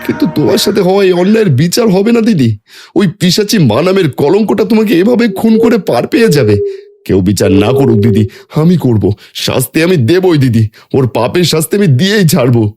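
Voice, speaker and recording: A man's voice: sits high, big pitch swings, fast talker, a little mellow, pitched above the performer's own voice. A man voicing a heartbroken young man in a horror cartoon, speaking with vehement emotion. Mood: vengeful. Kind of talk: Bengali horror cartoon dialogue. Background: music.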